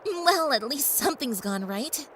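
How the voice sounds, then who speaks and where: A woman's voice: high-pitched, expressive, measured pace, a bit bright, slight background noise, low-quality recording. A woman voicing a wary, polite young pony princess who stammers and hesitates in an audio drama. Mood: nervous, wary.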